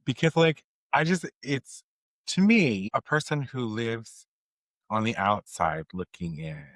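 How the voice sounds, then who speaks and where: Man, podcast host, earnest, encouraging, conversational, mid-pitched, dry sound. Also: animated, slow, a bit bright, dry recording. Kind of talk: conversational interview.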